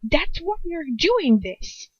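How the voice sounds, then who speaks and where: A woman's voice: very high voice, very animated, slow, no echo, muffled recording, pitched above the performer's own voice, heavily acted. A woman voicing a defiant, desperate captive young witch, pleading and insistent, in an amateur storybook reading. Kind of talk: amateur fiction read-aloud.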